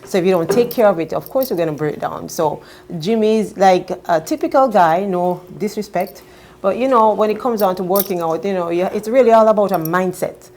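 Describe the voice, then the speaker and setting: A woman's voice: mid-range voice, brisk, a little mellow, a little hiss or hum. Upbeat woman health coach. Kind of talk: casual chat.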